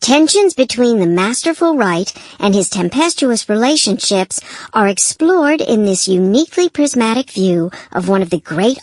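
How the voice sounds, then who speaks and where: A woman's voice: mid-range voice, dry recording. A woman announcer reading a scripted introduction to a recorded play.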